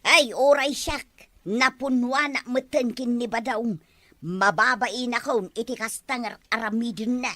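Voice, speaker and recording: A woman's voice: fairly high pitch, a little slow, sharp tone, dry recording. A woman playing a fed-up, scolding, insistent village wife in an Ilocano comedy drama, with an Ilocano accent. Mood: weary, ashamed. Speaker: actress in comedy skit.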